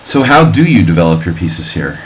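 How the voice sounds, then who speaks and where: A man with a fairly low voice thinks aloud through a chess simul on his live stream, calling out moves between wry jokes and puns. A faint hum of room noise sits under his voice. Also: very animated, normal speed. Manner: wry and offhand, chatting with viewers. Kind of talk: live-stream commentary while playing a simul.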